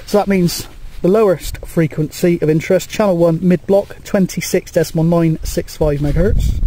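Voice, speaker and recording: A man's voice: high voice, dark tone. A British man giving a casual hands-on demonstration as a radio hobbyist, in a hesitant, rambling, matter-of-fact way. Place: outdoors on a grassy coastal hilltop. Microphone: handheld camera microphone. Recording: outdoor camcorder with clear background noise.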